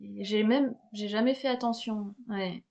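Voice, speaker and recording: A middle-aged French woman hosting a relaxed interview, talking with many hesitations and restarts. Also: medium pitch, unhurried, gravelly, lo-fi sound. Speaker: podcast host. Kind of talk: casual conversational interview.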